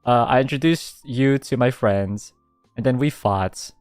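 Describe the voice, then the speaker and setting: A young man streaming a casual radio-style chat, reading out listener submissions, laughing often and reacting in an animated way. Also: unhurried, clean tone, dry recording, soft background music. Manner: animated, laughs often, jumps high when excited.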